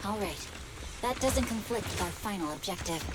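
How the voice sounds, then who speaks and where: A woman's voice: mid-range voice, animated, normal speed, heavy background noise, lightly acted. A woman playing a stoic young swordswoman in a video game, terse, reserved and formal.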